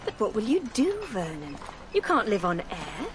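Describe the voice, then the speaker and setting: An actress playing an anxious, practical young sweetheart in a radio drama, fretful and reproachful. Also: female voice, mid-range voice, wildly swooping pitch, moderate pace, noisy background.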